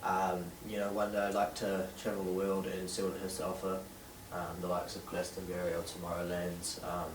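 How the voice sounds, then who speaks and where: A young man in his late teens with a New Zealand accent, answering interview questions haltingly with many fillers. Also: deep voice, completely flat delivery, noisy background. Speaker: interviewee, young DJ and event organiser. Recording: distant roomy camera sound with faint ambience.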